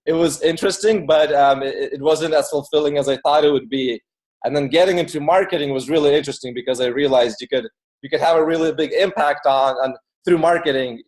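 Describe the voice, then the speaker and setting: A man's voice: a little high, brisk, darkish tone, rough recording. A man originally from Ukraine who moved to the US as a child, talking casually and informally as an interview guest. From born in Ukraine, moved to the US at eight. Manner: informal, filler-heavy with frequent "like". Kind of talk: casual conversational storytelling.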